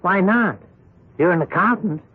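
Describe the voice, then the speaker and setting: High-voiced, menacing loan shark. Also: male voice, measured pace, slightly rough, narrow, tinny audio. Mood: insistent, scornful. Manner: insistent, repetitive, coolly threatening. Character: coldly menacing loan shark, insistent and smooth-talking. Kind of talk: 1970s radio drama acting. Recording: thin band-limited old radio broadcast.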